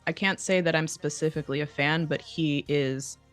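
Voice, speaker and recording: A woman's voice: deep voice, fairly even intonation, moderate pace, faint music behind. Mexican-born woman in easy chat. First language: Spanish. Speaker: co-host. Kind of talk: casual podcast chat.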